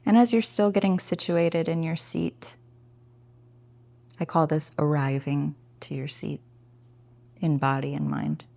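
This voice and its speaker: A woman leads a guided meditation in a low voice with plenty of gravelly fry. She takes her time between phrases and speaks gently and informally, encouraging the listener. The sound is close and dry. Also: steady tone, measured pace, pauses often, no echo. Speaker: meditation teacher.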